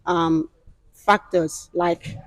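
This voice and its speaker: A woman's voice: medium pitch, very animated, drawn-out words, vocal fry, no room sound, poor audio. Nigerian woman hosting a chat, lots of ums, mid voice, dry close sound. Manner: hesitant, with many fillers. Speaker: host. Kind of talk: casual panel discussion.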